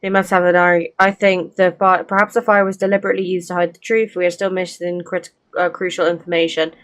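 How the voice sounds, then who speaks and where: A woman's voice: lowish voice, little pitch movement, fast. A woman gaming streamer giving casual commentary as she plays through a game. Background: game music.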